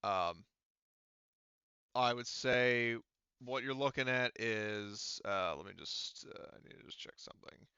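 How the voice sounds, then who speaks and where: A man acting as game master, explaining rules and prices in a casual, halting way as he thinks aloud. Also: medium pitch, bright, forceful tone, clean tone, dry recording, highs cut off. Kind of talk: casual tabletop game narration and rulings.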